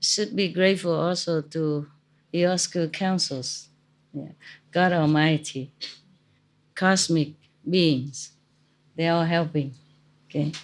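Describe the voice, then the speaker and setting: A woman's voice: deep voice, steady tone, takes it slowly, pauses often, rough recording. A woman teacher hosting a gathering, speaking in a scolding, repetitive way and later teasing the guests. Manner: scolding then teasing, repeats words for emphasis. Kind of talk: informal Q&A with an audience.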